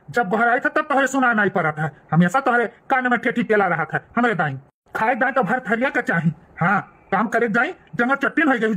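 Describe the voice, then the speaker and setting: A man's voice: very high voice, pushed and bright, heavily acted. A man voicing a bullying, harsh village relative in a comedy cartoon, scolding and sneering with a Bhojpuri accent.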